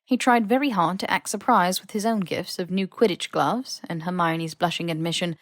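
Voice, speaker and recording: A woman's voice: lowish voice, moderate pace, dry recording, clean studio sound. A woman reading scripted fan fiction as an audiobook narrator. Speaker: podfic narrator.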